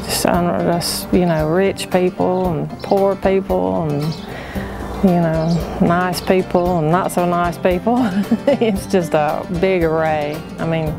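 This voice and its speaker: A woman with a Southern American accent speaks in an unadorned interview style. Her voice sits fairly low and moves little in pitch, with music clearly playing behind her. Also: fairly even intonation, slow pace. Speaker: family auction worker. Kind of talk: documentary interview. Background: background music.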